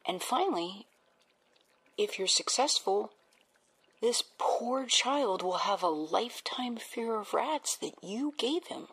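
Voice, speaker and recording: A woman's voice: on the high side, animated, slow, a bit bright, husky, heavily acted. An actress playing a young female research assistant who questions plans in a dry, practical way. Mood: skeptical. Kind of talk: audio drama acting.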